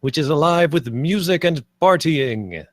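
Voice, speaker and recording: A man's voice: fairly high pitch, animated, measured pace, no room sound, clean studio sound. A man reading stage directions as the narrator at a screenplay table read.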